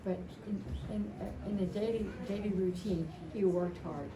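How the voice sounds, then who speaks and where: A woman in her seventies giving an informal, rambling and emotional personal tribute at a memorial, telling stories in a halting way. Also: mid-range voice, steady tone. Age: 70s. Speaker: widow speaking at a memorial. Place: indoor family memorial gathering. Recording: echoey, distant mic, noisy gathering room.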